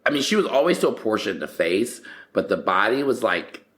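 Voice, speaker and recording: A man's voice: on the low side, big pitch swings, a little fast, a bit bright. A man co-hosting a podcast, chatting casually in a slangy way as he recaps reality TV with his co-host.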